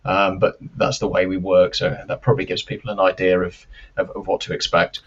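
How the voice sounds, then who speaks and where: A man giving careful, explanatory interview answers as a company expert, with measured and hesitant phrasing, over lo-fi live-stream audio. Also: low-pitched, little pitch movement, breathy edge. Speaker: loudspeaker company representative, interview guest.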